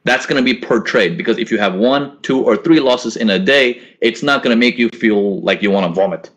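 A man's voice: mid-range voice, brisk, fairly bright tone. A young man hosting a live trading webinar from home, answering chat questions in a casual coaching manner and often repeating his words. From grew up in Korea and the US. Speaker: forex trading webinar host. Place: small indoor room at home. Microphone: laptop webcam mic on a video call.

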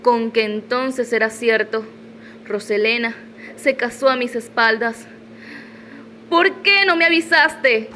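A woman's voice: a little high, takes it slowly, long pauses, pushed and bright, a little hiss or hum. A woman playing an imperious, desperate mother in a melodramatic radio soap opera. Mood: desperate, pleading. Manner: haughty, melodramatic. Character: imperious, desperate mother confronting her former lover.

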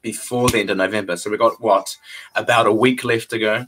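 A man's voice: fairly low pitch, steady tone, brisk, fairly bright tone, a little hiss or hum, rough recording. A young man from New Zealand with a New Zealand accent, chatting casually on a livestream from a small bare room into a webcam, laid-back, chuckling and repeating his phrases. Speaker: vlogger hosting a livestream. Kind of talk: casual livestream chat answering viewers. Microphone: webcam at desk distance.

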